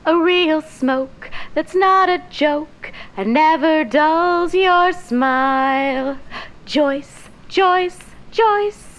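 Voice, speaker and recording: A cheery jingle gal from a 1940s radio ad, extremely high in pitch. She draws out her singsong sales pitch very slowly. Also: a bit bright, full character voice. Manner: singsong, rhyming sales delivery.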